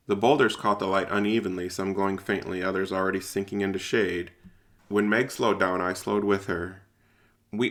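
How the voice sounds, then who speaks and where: A man's voice: low voice, creaky, fairly bright tone. A man performing first-person audio drama narration and dialogue in an informal, hesitant way, as if thinking aloud.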